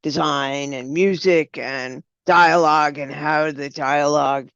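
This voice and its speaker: An older woman with a low, smooth voice, speaking conversationally as an interview guest about her work. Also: steady tone, slow, a bit bright, no echo, muffled recording. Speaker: guest, writer and director.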